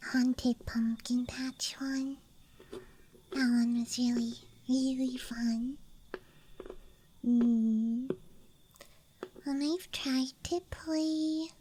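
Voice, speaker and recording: High soft girly woman doing whispery asmr, slow unsure rambling, kinda self-deprecating, breathy. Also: a little hiss or hum. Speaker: ASMR creator. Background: soft night ambience.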